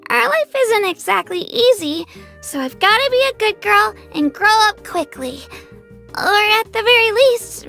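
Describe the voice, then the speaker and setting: Tiny earnest game girl, super high n bright, sweet, kinda unsure of herself but trying hard. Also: vocal fry, exaggerated voice. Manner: earnest, self-doubting, eager to please. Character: earnest, timid but determined young girl adventurer. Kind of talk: English video game character voice lines.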